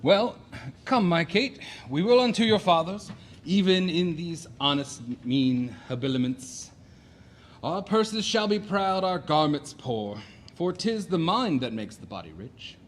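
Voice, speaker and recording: Man as a domineering high-voiced husband. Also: animated, measured pace. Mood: grandly cheerful. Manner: declamatory, commanding. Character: domineering, capricious husband, grandly contrary. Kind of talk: Shakespearean comedy audio play acting. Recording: noticeable background noise.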